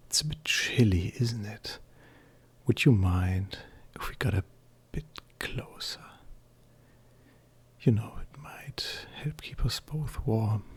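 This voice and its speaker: A man playing an affectionate, teasing furry male friend in an intimate roleplay ASMR, softly flirtatious and hesitant, with a breathy, whispery voice. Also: fairly low pitch, heavily acted. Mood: coy, cozy.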